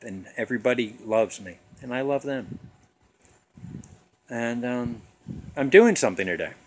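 A man's voice: mid-range voice, fairly bright tone. Joking bearded man vlogging outdoors. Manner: jokey, self-deprecating, rambling. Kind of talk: casual rambling vlog. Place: outdoors in a suburban front yard. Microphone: phone camera at arm's length.

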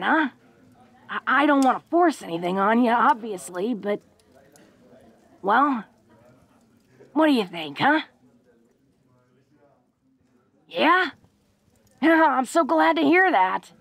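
A woman's voice: on the high side, creaky, no echo, heavily acted. A woman playing a tough but secretly awkward, tender young orc woman in an intimate romantic audio roleplay, with a casual, folksy, hesitant way of talking. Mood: earnest, hopeful. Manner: casual, folksy, hesitant with frequent stammers and "huh?" tags.

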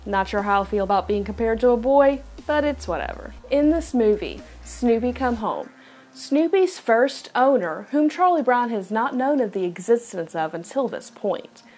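A woman's voice: fairly high pitch, a little mellow. Earnest woman hosting a devotional video. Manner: earnest, preachy, playful asides. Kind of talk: casual devotional talk to camera. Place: home room with bookshelf.

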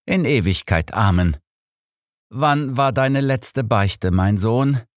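A man's voice: mid-range voice, expressive, slow pace, dry recording, clean studio sound, voice pushed up. A man voicing a confident, cunning old detective with an assured, persuasive manner in an audiobook. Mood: solemn. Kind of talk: audiobook character dialogue.

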